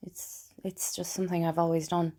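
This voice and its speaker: A woman playing a lonely, wry, broken woman confiding in Death in an intimate, hesitant theatrical monologue, with a husky, breathy voice. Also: lowish voice, level tone, normal speed, mellow, close to an everyday voice. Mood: tender, wistful. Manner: confessional, self-deprecating, hesitant. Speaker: writer and performer of a one-woman play.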